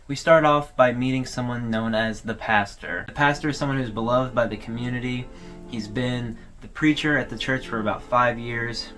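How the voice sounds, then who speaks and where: A man's voice: mid-range voice, fairly bright tone. A man hosting a video, giving casual, conversational explanatory commentary as he retells a story. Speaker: video host recapping an online horror series.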